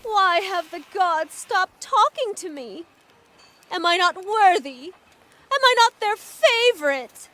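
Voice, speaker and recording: An actress playing a devout, proud and troubled priestess in a video game cutscene, speaking solemnly and with command. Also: female voice, very high voice, expressive, takes it slowly, bright, forceful tone, a little hiss or hum, heavily acted. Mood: anguished.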